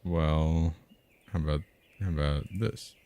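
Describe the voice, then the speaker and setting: Male co-host with a very deep bass voice for casual chat and story narration, light noise behind. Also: takes it slowly, mellow. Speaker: co-host of a live game reading stream.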